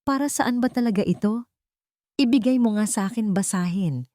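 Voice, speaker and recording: A woman's voice: medium pitch, moderate pace, warm tone, no room sound, clean studio sound, voice pushed up, close to an everyday voice. Woman voicing a hesitant, confused old grandmother. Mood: confused, wary. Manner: faint, hesitant. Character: frail, confused elderly grandmother, gently protesting.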